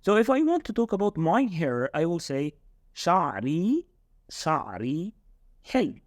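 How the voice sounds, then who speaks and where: Lively man teaching. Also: a little high, dry recording, studio quality. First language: Arabic. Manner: explains slowly and repeats words. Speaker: Modern Standard Arabic tutor. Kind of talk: casual language lesson.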